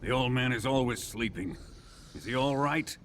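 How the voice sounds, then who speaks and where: A man's voice: mid-range voice, moderate pace, slight background noise, natural voice. A man playing a cruel, domineering military colonel in a video game cutscene, with an imperious, sinister manner. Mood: impatient.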